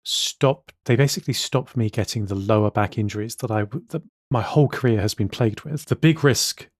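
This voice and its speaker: Gravelly man, chatty podcast host. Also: on the low side, brisk, dry recording, clean studio sound. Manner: restarts and repeats words while explaining. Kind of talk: casual chat.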